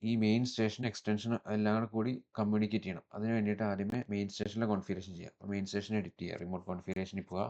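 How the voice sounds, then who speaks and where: A man with a Malayali accent presenting a step-by-step software tutorial in an instructional manner, mixing in English technical terms. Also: fairly low pitch, level tone, rapid-fire, hardly any pauses, lo-fi sound.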